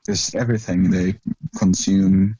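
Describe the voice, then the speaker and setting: Norwegian guy, interview guest, low mellow voice, muffled lo-fi audio. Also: unhurried, husky, dry recording. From Norway. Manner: relaxed, talks in short bursts with fillers. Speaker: guest musician. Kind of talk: casual interview chat. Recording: dull lo-fi dry sound.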